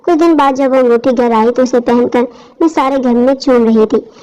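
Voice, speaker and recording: A woman's voice: high-pitched, normal speed, dark tone. A woman reading a scripted first-person story as its narrator. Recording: dull lo-fi audio.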